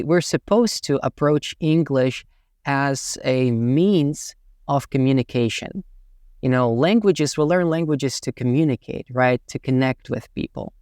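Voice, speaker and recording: Russian-accented young man with a smooth voice. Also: mid-range voice, darkish tone, no echo, clean studio sound. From Tatarstan, Russia. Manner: thoughtful, with many fillers like "you know" and "right". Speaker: English teacher, channel host. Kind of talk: reflective talk to camera.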